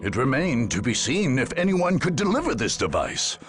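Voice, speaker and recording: A man acting as a grave chronicler of a far-future war, narrating a video game in a solemn, measured, theatrical way. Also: medium pitch, very animated, soft background music, close to an everyday voice. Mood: solemn, foreboding.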